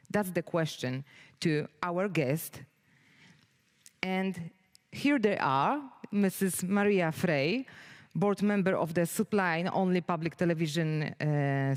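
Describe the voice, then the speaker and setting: A woman formally introducing sessions and speakers from a conference stage as host. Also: fairly low pitch.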